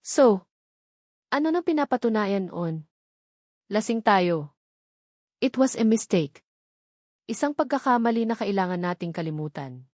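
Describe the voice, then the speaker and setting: A woman's voice: medium pitch, very animated, unhurried, long pauses, a little mellow, dry recording, clean studio sound. A woman voicing a cold, cruel young man in a story, with a menacing, emphatic, dismissive manner. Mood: cold, serious. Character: cold, cruel young man rejecting his pregnant lover.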